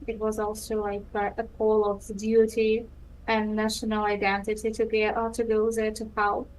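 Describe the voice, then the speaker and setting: A woman's voice: fairly high pitch, rough recording. A woman in her thirties from Ukraine with a Ukrainian accent, whose first language is Russian, answering interview questions hesitantly with many ums and restarts. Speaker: guest.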